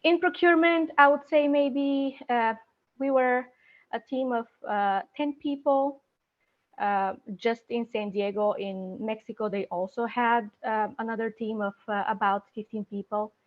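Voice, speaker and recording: A woman working in procurement, speaking as an interview guest in a thoughtful, halting way with many fillers. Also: on the high side, fairly even intonation, narrow, tinny audio. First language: not Spanish; speaks several languages. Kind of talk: career interview. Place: San Diego area.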